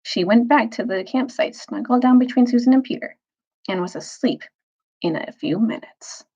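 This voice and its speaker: A woman reading a children's novel aloud as a storyteller, phrasing carefully with dramatic pauses and emphasis. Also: on the high side, mellow, breathy edge, muffled recording.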